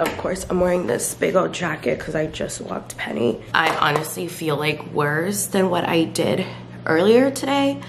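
A young woman with a cold chats to a handheld camera at home, stuffed up and worn out. Her low voice is gravelly with vocal fry, and she rambles along through lots of fillers. A faint hiss of room noise sits underneath. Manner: tired, low-energy, rambling with many fillers. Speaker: vlogger. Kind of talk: casual vlog chat to camera. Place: bedroom and then a kitchen at home, indoors. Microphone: handheld camera at arm's length.

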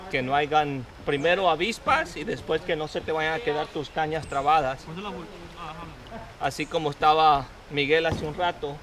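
A man in his thirties vlogging with excitement while fishing from a kayak on a river, switching between Spanish and English. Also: a little high, normal speed, a crowd in the background. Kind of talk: casual vlog narration while fishing. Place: outdoors on a river, wading and kayaking. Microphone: action camera on a kayak. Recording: noisy outdoor river audio.

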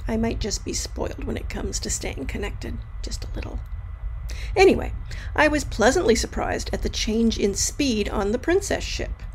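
A woman's voice: medium pitch, gravelly, a little mellow, a little hiss or hum, low-quality recording. A woman travel vlogger narrating a scripted voice-over review in a wry, matter-of-fact way.

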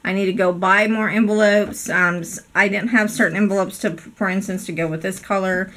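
A chatty woman hosts a casual crafting video from her craft room, her voice hoarse from a cold. Her tone is bright and forward, and she keeps apologizing and filling pauses with ums. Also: mid-range voice, steady tone. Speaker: craft channel host. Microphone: overhead camera, speaker off screen.

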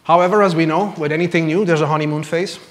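A man's voice: fairly high pitch, fairly even intonation, quick pace, fairly bright tone. A man in his thirties from Belgium, telling his personal faith story from a church stage in an earnest, lightly self-deprecating way, speaking into a lapel mic. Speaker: church member giving testimony. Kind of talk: personal testimony from a pulpit.

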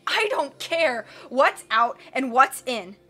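A woman's voice: a little high, expressive, normal speed, pushed and bright, faint music behind. A woman voicing a blustering, greedy villain who growls, sneers and roars at everyone. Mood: snarling. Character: greedy, blustering villain man, middle-aged. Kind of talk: audiobook character voice.

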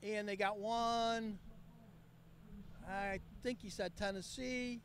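Man w a cold hosting live outside, pretty high voice, a bit hoarse, some outdoor noise. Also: fairly even intonation, takes it slowly. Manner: chatty and upbeat, coaxing guests. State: losing his voice from a cold. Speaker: host. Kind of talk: live event streaming chat. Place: outdoor park event beside a van. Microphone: handheld mic.

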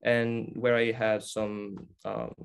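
A man's voice: fairly low pitch, level tone, slow, vocal fry, smooth. A young man from Italy with an Italian accent, giving an earnest talk to camera from an attic room at home, often restarting his sentences as he searches for words. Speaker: festival presenter.

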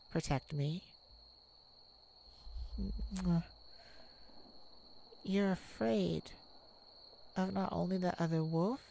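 A woman playing a tenderhearted warrior mage in a soft ASMR romantic roleplay, speaking in a hushed, soothing, halting way. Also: on the low side, gravelly, a little hiss or hum, poor audio. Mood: gentle reassurance. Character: tenderhearted young warrior mage comforting a werewolf lover. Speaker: audio roleplay performer.

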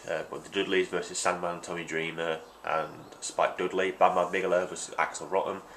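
Young man, congested, deep voice, rambling with ums, hissy home webcam audio. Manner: rambling, many ums and restarts. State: congested from hay fever. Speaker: vlogger. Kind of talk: casual unboxing vlog. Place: small room at home, indoors. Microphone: webcam at desk.

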